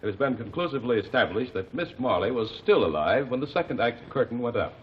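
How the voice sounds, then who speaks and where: An actor playing a calm, methodical man who cross-examines witnesses in court, speaking formally and precisely, in 1940s radio acting. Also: mid-range voice, husky. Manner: measured, formal, precise diction. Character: calm, methodical male courtroom lawyer-detective. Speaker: amicus curiae lead. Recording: dull old radio broadcast, faint hiss.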